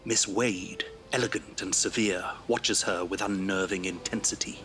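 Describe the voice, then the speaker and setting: A man's voice: sits low, fairly even intonation, normal speed, fairly bright tone, a little hiss or hum. A man giving scripted dramatic narration as the narrator of an audio drama.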